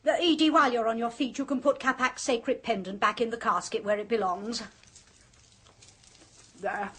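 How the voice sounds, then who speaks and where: A woman's voice: a little high, steady tone, moderate pace, a bit bright, slightly rough. An actress playing a flamboyant, theatrical middle-aged woman who teases her sister and a visitor in a radio play. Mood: mocking. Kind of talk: 1970s radio drama acting.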